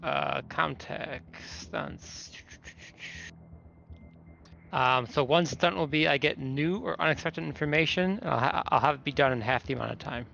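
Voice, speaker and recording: A man chats casually as a player in a tabletop game. His voice is creaky with a bright edge at a middling pitch. The sound is a little dull, with music behind it. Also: unhurried, slight background noise.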